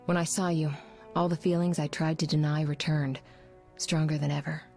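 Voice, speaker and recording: An affectionate sorceress in a fantasy game. Her voice sits slightly low and carries an earnest, vulnerable emotion. Soft music runs behind her. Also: level tone, normal speed, creaky. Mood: tender, yearning. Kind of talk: video game voice acting.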